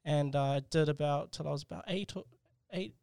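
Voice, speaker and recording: A man's voice: fairly high pitch, brisk, gravelly, smooth, no room sound, clean studio sound. A man in his twenties with a New Zealand accent, a guest entrepreneur talking casually and hesitantly about himself. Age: 20s. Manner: soft-spoken, hesitant, many restarts. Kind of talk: casual interview chat.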